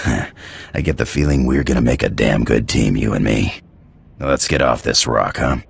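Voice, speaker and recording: Hot-tempered rogue pilot, extremely deep, gravelly fry, rough slang, video game. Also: male voice, moderate pace, exaggerated voice. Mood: wry. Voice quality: gravelly, husky. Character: gruff, cocky space pirate pilot, hot-tempered rogue.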